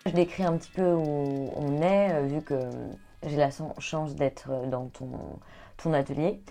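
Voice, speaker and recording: A woman interviewing an artist in casual conversation, talking hesitantly with many pauses and restarts. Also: low-pitched, steady tone, mellow, soft background music.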